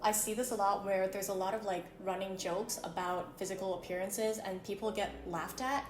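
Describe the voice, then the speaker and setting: A woman's voice: mid-range voice, steady tone, normal speed, a little hiss or hum. Woman chatting in an echoey room. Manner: chatty, thoughtful, many fillers. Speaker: co-host of a beauty channel talk. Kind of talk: casual chat.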